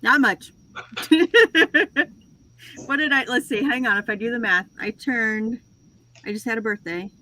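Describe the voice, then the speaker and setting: A woman's voice: a little high, a little slow, pauses often, sharp tone, slight background noise, poor audio. A woman in her forties hosting a casual livestream chat, talkative and self-deprecating, laughing often as she tells stories. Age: 40s. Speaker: host of a reseller livestream.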